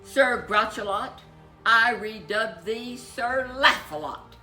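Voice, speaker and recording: A woman voicing a jovial storybook king who makes grand, pompous, booming proclamations. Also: expressive, slow, pushed and bright, echoey room, faint music behind, full character voice. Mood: grand, jubilant.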